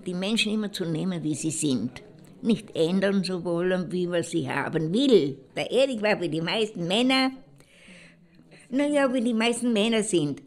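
A woman playing an older, jilted woman in an audio drama, rambling in a wistful, resigned way. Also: on the low side.

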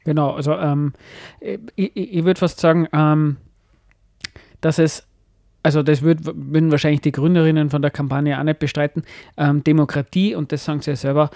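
Mellow man, hesitant and thoughtful. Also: a little high, steady tone, normal speed, gravelly, no echo, clean studio sound. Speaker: podcast co-host. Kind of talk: casual discussion.

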